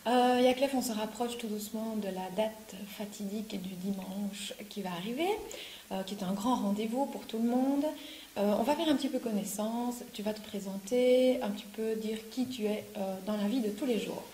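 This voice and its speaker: A woman's voice: mid-range voice, normal speed, dark tone. A woman interviewing a local candidate, asking informal, friendly questions with frequent fillers. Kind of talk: campaign interview. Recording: echoey room with faint hiss.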